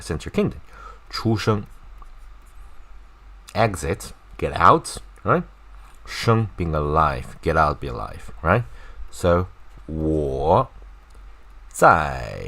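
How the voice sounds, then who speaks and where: Male language teacher, native Chinese with Chinese accent. Low-pitched, unhurried, informal explanations with drawn-out, repeated example words. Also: a bit bright. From China. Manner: repeats and slowly stretches words to demonstrate pronunciation.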